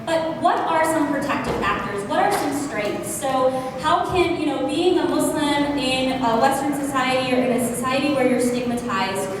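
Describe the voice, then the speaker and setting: A woman gives a conference talk on her research in a slightly high voice, earnest and full of fillers. She is picked up from a distance in a big reverberant hall with a clear noise bed behind her. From United States. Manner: earnest, many fillers, self-aware about loud voice. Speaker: conference speaker presenting research. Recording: echoey hall from far off, noisy.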